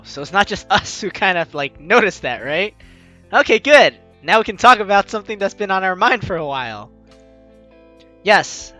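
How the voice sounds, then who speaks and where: A man's voice: high voice, bright, forceful tone, highs cut off. A young man hosting a game playthrough, reading the story and chatting between lines. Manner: chatty, reads lines with asides. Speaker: gameplay host reading the story aloud. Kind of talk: let's play narration and commentary.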